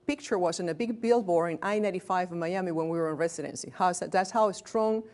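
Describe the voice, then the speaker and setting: A woman's voice: on the low side, quick pace, darkish tone. A woman in her late thirties from Venezuela with a Venezuelan Spanish accent. She is a physician giving a training talk to a small group in a room, candid and self-deprecating with joking asides, and she often restarts words. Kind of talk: lecture to a workplace group.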